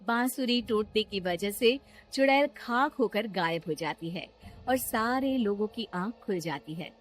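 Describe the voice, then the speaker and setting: A woman's voice: medium pitch, animated, measured pace, soft background music. A woman reading scripted narration for a children's cartoon story.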